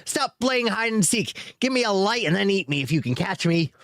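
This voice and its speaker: Brief: man doing a jittery storybook hobbit, high and squeaky, shrill when he shouts, close and dry. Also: big pitch swings, moderate pace, no echo, exaggerated voice. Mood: shrill defiance. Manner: anxious, whispering then shrill outbursts. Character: small nervous male hobbit, shrill and squeaky.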